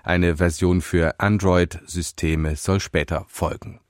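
A man presenting a radio news magazine, announcing items in a crisp, scripted way. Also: sits low, steady tone, slow.

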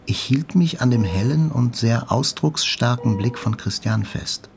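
A man's voice: medium pitch, a little slow, creaky. An actor playing a wounded journalist, narrating his hospital stay soberly and introspectively in an audio drama. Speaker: first-person narrator. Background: faint music.

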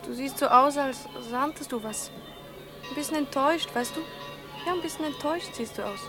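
An actress playing a lively, wheedling young sweetheart in a 1960s German radio play, with a girlish, chattering manner. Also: high voice, brisk, close to an everyday voice. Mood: gently coaxing. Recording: 1960s radio recording, music behind.

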